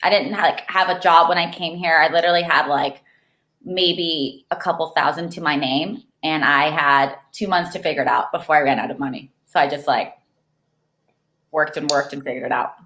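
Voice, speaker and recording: A woman's voice: low voice, steady tone, moderate pace, a bit bright. A young woman from Argentina, raised in California, chatting as a guest and filling her talk with "like.". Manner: chatty, lots of "like" fillers, laughs easily. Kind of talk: casual chat. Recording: echoey room.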